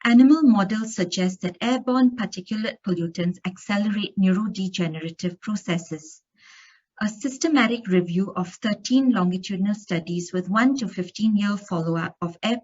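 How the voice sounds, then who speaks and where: A woman's voice: medium pitch, a little mellow, highs cut off. A woman from Singapore giving an academic conference lecture, reading steadily through study findings with occasional fillers and restarts. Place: conference room.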